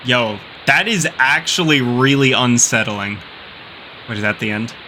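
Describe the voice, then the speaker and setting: A man's voice: mid-range voice, a little slow, pushed and bright, slight background noise, low-quality recording. A man giving casual, slangy reaction commentary as the host of a video. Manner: slangy, informal.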